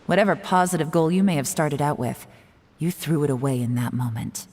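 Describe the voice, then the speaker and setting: A woman voicing a self-assured, calculating female agent in a video game, with measured, coolly taunting delivery. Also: a little mellow. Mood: cool, accusing. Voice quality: low-pitched. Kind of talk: scripted game voice acting. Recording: long reverb, faint music behind.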